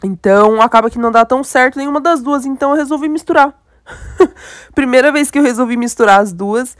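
A woman's voice: high voice, a little fast, dry recording, clean studio sound. A young Brazilian woman with a stuffy, nasal voice from a cold, chatting enthusiastically to viewers during a makeup tutorial. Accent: Brazilian Portuguese. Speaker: beauty vlogger. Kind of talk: casual makeup tutorial chat.

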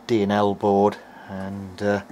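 A man with a fairly low voice talks through a hands-on electronics demonstration in a casual way. He thinks aloud as he goes, somewhat hesitant between phrases. There is faint noise behind him. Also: monotone, slow, darkish tone. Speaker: hobbyist presenter of an electronics repair video diary. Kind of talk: casual technical narration while demonstrating.

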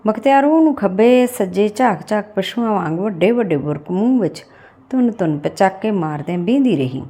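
A woman reads a literary story aloud as an audiobook narrator, with expressive delivery. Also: mid-range voice, a little fast.

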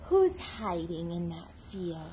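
A young woman doing an animated picture-book read-aloud for her toddler, playful, sing-song and encouraging, chatting to the child as she goes. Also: fairly low pitch, background noise. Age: young adult. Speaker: mother reading storytime to her toddler. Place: outdoor backyard patio. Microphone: camera a few feet away.

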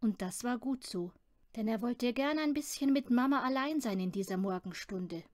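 Higher-pitched woman reading a children's story. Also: lively intonation, normal speed, a little mellow, dry recording, studio quality. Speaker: audiobook reader. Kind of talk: scripted children's story narration.

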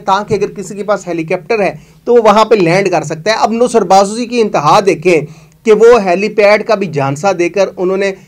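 A man, hosting a news show and giving emphatic, accusatory commentary to camera in a studio. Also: sits high. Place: studio with green screen.

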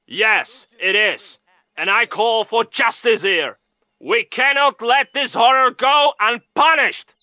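A man playing a grave army captain who speaks solemnly and deliberately in a game's news interview. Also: extremely high pitch, pushed and bright, no room sound, muffled recording, heavily acted. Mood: indignant. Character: grave, indignant male army captain of a fictional kingdom. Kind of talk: field interview in a game news cutscene.

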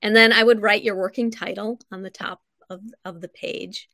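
A woman's voice: mid-range voice, steady tone, normal speed, creaky, a bit bright, no room sound, poor audio. A middle-aged woman explaining things conversationally in an interview over a video call, in an encouraging, instructive way. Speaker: guest writing coach. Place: home room, indoors, on a video call.

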